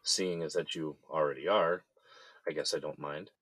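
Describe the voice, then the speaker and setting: A man's voice: extremely low pitch, fairly even intonation, moderate pace, fairly bright tone, no room sound. An actor playing a guarded, bitter man in jail who feels betrayed, speaking tersely in an audio drama. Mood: guarded, civil.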